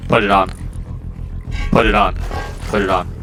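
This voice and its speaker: A man's voice: deep voice, fairly even intonation, moderate pace. A man playing an online survival game, teasing and bossing his teammate around in playful chat over a headset. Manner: teasing, bossy, shouts orders. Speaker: gamer.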